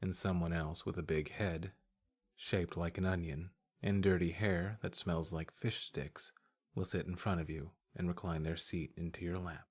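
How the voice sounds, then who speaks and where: A man's voice: sits low, fairly even intonation, moderate pace, slightly rough. A man working as a voice-over artist, reading scripted literary narration.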